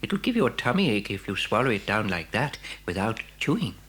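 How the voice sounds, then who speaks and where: A kindly shopkeeper in a children's audio play. The voice sits low and swoops widely in pitch, fussing and chatty, stammering and doubling back on words. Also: female voice, sing-song, fairly quick, a bit bright, exaggerated voice. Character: kindly, fussing shopkeeper serving a small boy. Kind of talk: acted audio dramatisation for children.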